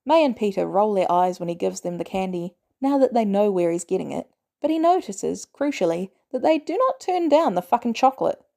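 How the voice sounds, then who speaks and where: A woman reading fiction aloud as an audiobook narrator, in an expressive storytelling style. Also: mid-range voice, a little fast, vocal fry. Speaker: audiobook reader.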